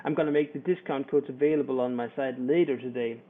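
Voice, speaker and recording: A man with a Danish accent, a native Danish speaker, gives an informal promotional screencast tutorial in a hesitant, halting way. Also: mid-range voice, fairly even intonation, phone-quality sound. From Denmark. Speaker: product reviewer and presenter. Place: voice-over for screen recording.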